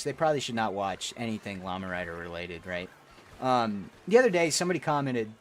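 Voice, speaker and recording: A man streaming a game, chatting with viewers in a profane, rambling, ranting way. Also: medium pitch. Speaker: gaming streamer. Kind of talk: casual rambling stream chat.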